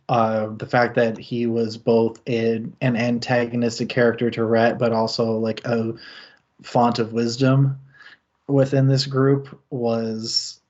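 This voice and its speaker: A man's voice: medium pitch, darkish tone. A man in the middle of his range talks through a book on a live stream. He thinks aloud in long, winding sentences and laughs often. The sound is muffled and lo-fi. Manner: thoughtful, rambling, with frequent laughs. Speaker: book club stream host. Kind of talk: casual book discussion.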